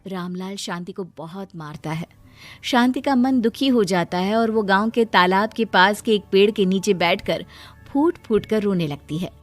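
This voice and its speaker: A woman narrating a children's cartoon moral story in a steady, scripted way. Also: mid-range voice, normal speed, soft background music.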